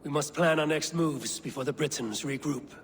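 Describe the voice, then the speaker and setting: Man playing a measured, resolute Viking leader. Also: on the high side, close to an everyday voice. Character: steady, pragmatic Viking clan leader. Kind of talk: dramatic video game acting. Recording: reverberant hall, faint noise.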